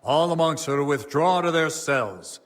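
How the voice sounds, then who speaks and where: An actor playing a stern, burdened abbot of a medieval monastery, grave and formal, in a dramatic game cutscene. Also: male voice, a little high, expressive, normal speed, lightly acted. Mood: angry, commanding.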